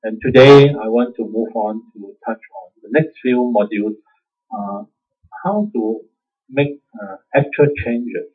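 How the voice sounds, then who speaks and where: Husky Singaporean man giving a dharma talk. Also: mid-range voice, expressive, a little slow. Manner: conversational, self-deprecating, chuckles often, uses "lah". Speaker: Buddhist teacher. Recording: dull lo-fi recording.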